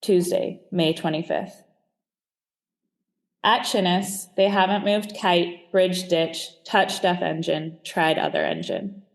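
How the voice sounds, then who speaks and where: A man's voice: sits high, fairly even intonation, takes it slowly, creaky, full character voice. A man voicing a grumbling Second World War serviceman reading out his diary in clipped phrases. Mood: exasperated.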